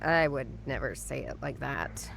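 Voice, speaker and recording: A sardonic female detective in a noir video game. Her voice sits low and she talks brusquely, impatient and secretive, with dry sarcasm. Faint ambient noise lies behind her. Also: lively intonation, measured pace, creaky, a bit bright, full character voice. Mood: businesslike.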